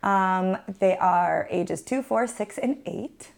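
A woman in her twenties, a podcast guest chatting about her life, outgoing and quick to laugh, with a naturally loud voice she keeps calm. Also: mid-range voice, gravelly. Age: 20s. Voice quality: loud voice held back to a calm tone. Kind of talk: casual chat.

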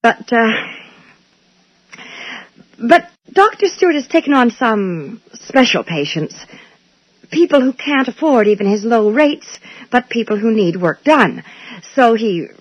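Brisk, lively receptionist. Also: female voice, fairly high pitch, slightly rough, no room sound. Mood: flustered. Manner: brisk, polite, officious. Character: brisk, guarded receptionist at a dental office. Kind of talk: 1980s radio drama acting. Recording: dull old radio recording.

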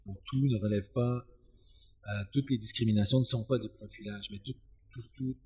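A man answering as an expert guest in an interview, explaining in a halting, searching way and often repeating words. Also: on the low side, steady tone, mellow, slightly rough. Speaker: expert guest, sociology professor.

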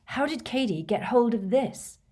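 A woman's voice: fairly high pitch, lively intonation, a little slow, a little mellow, slightly rough, voice pushed up. A woman voicing an urgent, brave teenage schoolboy in clipped phrases, as part of a storybook reading. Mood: tense, grave.

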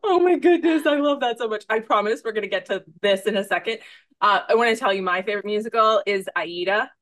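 A woman with a mid-pitched voice hosts a chatty podcast interview. She is effusive and emphatic, piling on words for stress and wandering into tangents in a very informal way. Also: fast talker, a bit bright. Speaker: podcast host.

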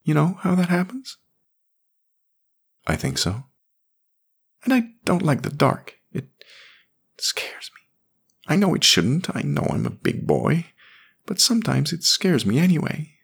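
A lonely, defensive young boy speaks in a high, childlike voice, as a man reading an audiobook puts him on. He sounds sulky and pleading, and the voice swoops up and down. He talks slowly, with a husky edge. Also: huge pitch swings, heavily acted. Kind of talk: audiobook character voice.

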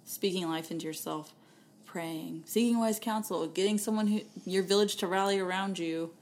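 A woman with a Texan accent, co-hosting a casual faith-based podcast in a lively, playful way, with quick asides. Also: mid-range voice, slight background noise. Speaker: podcast co-host.

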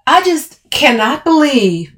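A woman's voice: fairly high pitch, expressive, slow, pitched above the performer's own voice. A woman voicing an overbearing, confrontational woman in dramatic audiobook dialogue, emphatic and bossy. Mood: indignant. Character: Camille, overbearing, furious, taunting woman, bossy cousin.

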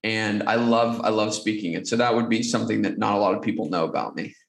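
Guest on a podcast: man, gravelly, earnest motivational delivery, echoey room. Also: fairly low pitch, fairly even intonation, fast talker. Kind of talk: conversational interview answers.

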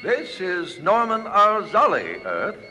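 A man's voice: high voice, huge pitch swings, slow pace, pushed and bright, soft background music, exaggerated voice. An actor in a radio play playing a fussy, unflappable male scientist, mild and precise in manner. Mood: formal. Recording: long reverberant tail on the voice.

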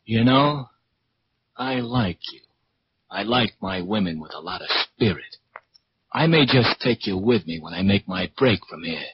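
A very low-voiced, leering gangster in an old radio drama, sardonic and threatening. The sound is dull, with clear noise and clicks from a 1940s recording. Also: male voice, expressive, a little slow. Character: wounded male gangster holding a hostage, leering and menacing.

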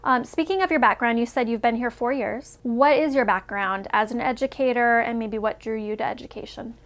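A woman hosts a podcast interview in a relaxed, conversational way. Her voice sits a little high, and she fills the talk with friendly, chatty asides and easy laughter. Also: fairly quick.

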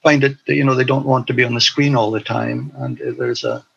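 Older man with a husky, creaky voice. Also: mid-range voice, very animated, a bit bright, dull audio. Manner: hesitant, halting, reflective. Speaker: interview guest, former teacher abroad. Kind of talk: recollection in an interview.

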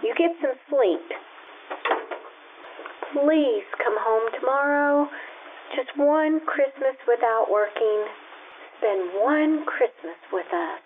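Tinny noisy old radio play, lady acting a loving tired mom and wife, voice a bit high. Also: slow pace, pauses often. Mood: pleading. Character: loving, weary wife and mother, pleading. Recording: tinny phone-like band, noisy radio sound.

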